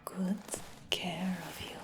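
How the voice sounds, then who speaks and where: A woman playing a smug, doting human dragon hunter in a fantasy ASMR roleplay, whispering in a coaxing, gently boastful way. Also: fairly low pitch, little pitch movement, slow pace, background noise. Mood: coaxing, pleased. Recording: echoey whisper with long cave-like reverb.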